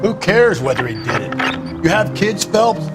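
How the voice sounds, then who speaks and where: A man playing a cynical, blunt police detective in a crime game, with a gruff manner and an American accent. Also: high voice, sing-song, normal speed, music in the background. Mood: cynical, insistent. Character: crude, cynical, hard-boiled male police detective.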